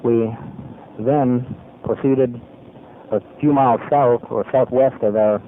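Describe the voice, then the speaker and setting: A man speaks in a steady, mid-pitched voice, reporting on a mission as a navy pilot in clipped military terms. The sound is thin and narrow, like an old archival radio recording. A faint hiss runs underneath. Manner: measured, clipped military phrasing. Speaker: navy pilot giving a debrief. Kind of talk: formal spoken mission debrief.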